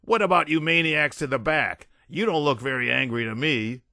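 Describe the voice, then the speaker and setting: Man acting a brash, shouting punk rock frontman. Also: medium pitch, very animated, moderate pace, no room sound, clean studio sound. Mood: rowdy, goading. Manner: shouting, goading stage banter, profane. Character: brash young punk rock singer, rowdy male showman.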